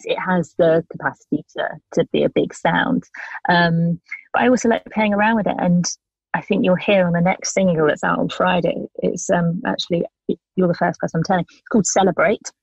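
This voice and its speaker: A woman singer-songwriter in her thirties, answering interview questions thoughtfully with many pauses and restarts. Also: lowish voice, fairly even intonation, husky, no room sound, dull audio.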